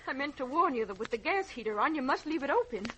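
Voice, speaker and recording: A woman's voice: high voice, sing-song, fast, pushed and bright, exaggerated voice. An actress playing an anxious, flustered landlady in a 1950s radio drama, speaking with urgency. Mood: flustered, relieved. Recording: noisy vintage radio recording.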